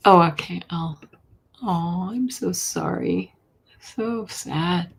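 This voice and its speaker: A woman hosting a live tarot reading, a little hoarse, chatty, with a soft voice that often drops to a whisper. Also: lowish voice, takes it slowly, slight background noise. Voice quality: soft voice, not loud. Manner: chatty, reads viewer questions aloud, drops to whispers. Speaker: intuitive reader, live stream host.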